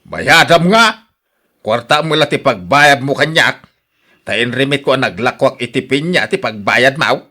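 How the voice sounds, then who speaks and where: Guy doing a grumpy stingy ilocano villager, forceful voice, really animated, radio drama. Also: mid-range voice, unhurried. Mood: resentful. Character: stingy, grumpy, spiteful village fruit-tree owner.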